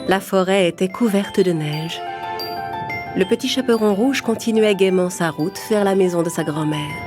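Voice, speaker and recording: A woman narrating a scripted children's fairy tale in an expressive storytelling manner. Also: medium pitch, moderate pace. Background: prominent music.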